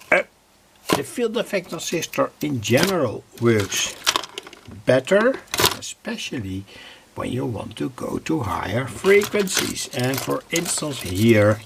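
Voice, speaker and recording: Slow, gravelly man explaining radio coils. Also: medium pitch, background noise. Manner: slow and halting, many "uh" pauses. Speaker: radio hobbyist presenting his own channel. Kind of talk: unscripted hands-on demonstration.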